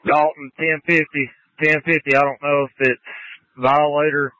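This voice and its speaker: A man's voice: a little high, little pitch movement, normal speed, sharp tone, no echo. A male state trooper giving clipped, coded reports over a police radio during a pursuit. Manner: clipped procedural phrasing in radio codes. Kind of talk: terse police radio traffic. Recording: tinny narrowband police scanner radio.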